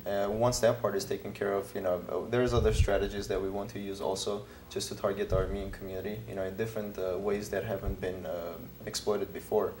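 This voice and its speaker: Brief: male talk-show guest, earnest and halting, roomy echoey sound, faint hiss. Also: fairly low pitch, little pitch movement, darkish tone. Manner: earnest, hesitant, many fillers and restarts. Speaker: filmmaker guest. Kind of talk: casual TV interview.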